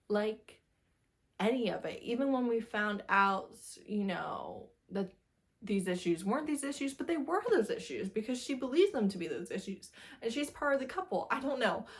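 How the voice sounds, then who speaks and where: A woman's voice: a little high, fairly bright tone. A woman giving a casual, chatty book review in an informal, emphatic way, often repeating phrases for emphasis.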